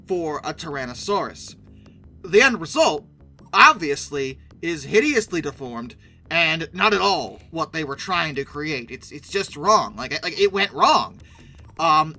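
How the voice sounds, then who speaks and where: A man's voice: a little high, sharp tone. A man giving casual video commentary in a sarcastic, opinionated, emphatic way. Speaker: YouTube commentator and host.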